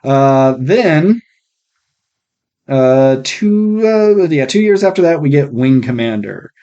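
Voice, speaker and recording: A man co-hosting a casual film podcast, speaking in a blunt, opinionated way with casual swearing, recorded on a rough mic. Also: medium pitch, lively intonation, takes it slowly, warm tone, lo-fi sound. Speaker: podcast co-host.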